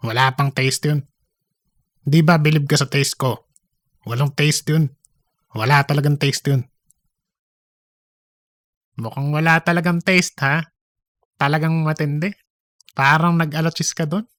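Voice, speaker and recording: A man's voice: on the high side, fairly even intonation, a little slow, long pauses, fairly bright tone, dry recording. Man acting a cocky, gossipy office braggart, dry sound. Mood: cocky, scornful. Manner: cocky, gossipy, repeats himself. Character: boastful philandering male office worker bidding to be manager. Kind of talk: comedic audio story dialogue.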